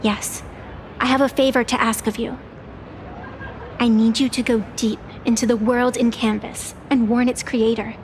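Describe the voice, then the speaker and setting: A woman's voice: fairly high pitch, flat delivery, normal speed, a little hiss or hum, close to an everyday voice, rough recording. An actress playing a playful, theatrical young woman with secret powers in a game, with a dramatic delivery. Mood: grave, urgent. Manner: teasing, dramatic. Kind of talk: scripted game dialogue.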